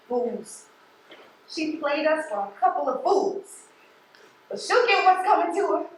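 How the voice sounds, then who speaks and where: An actress playing a bitter, fed-up post office supervisor in a stage play, speaking emphatically and indignantly. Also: female voice, sits high, a little hiss or hum. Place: theatre stage, recorded from afar. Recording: echoey stage, distant microphone.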